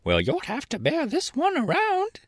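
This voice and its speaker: A proud yellow hen squawks back in a shrill, very high voice that leaps all over the place, as a man puts her on. She is huffy and defiant, clucking as she talks. The sound is dry and close, like a storybook read at home. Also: wildly swooping pitch, moderate pace, no room sound, heavily acted. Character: proud indignant yellow hen, female, sharp-tongued.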